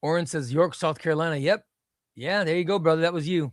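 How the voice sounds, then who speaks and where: Chill guy hosting a stream, spanish was his first language, kinda high voice, dry close sound. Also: fast, no room sound. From Illinois, US. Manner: rambling, offhand, swears casually. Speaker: comic book seller and livestream host. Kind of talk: casual livestream chat, reading viewer comments.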